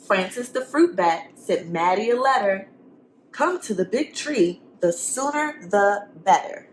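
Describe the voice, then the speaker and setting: A woman's voice: mid-range voice, animated, a little slow, a little hiss or hum, low-quality recording. A woman teacher reading a storybook aloud to young students, expressively, with simple encouraging questions and asides for the children.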